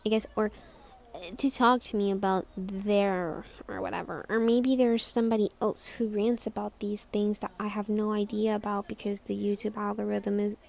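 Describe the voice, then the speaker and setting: A young woman with a squeaky voice rambling in a casual vlog, hesitant and self-conscious, full of restarts and trailing words. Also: level tone, moderate pace, creaky, a little mellow. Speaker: vlogger.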